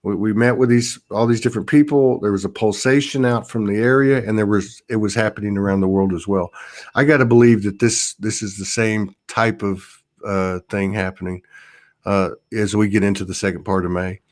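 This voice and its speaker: A man's voice: lowish voice, fairly even intonation, brisk, a little mellow. A man talking casually and reflectively about spiritual experiences, circling back and rephrasing as he goes.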